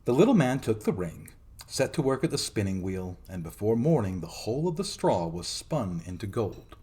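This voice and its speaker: A man narrating a fairy tale as a storytelling host, in a theatrical, expressive dramatic reading. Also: on the low side, moderate pace.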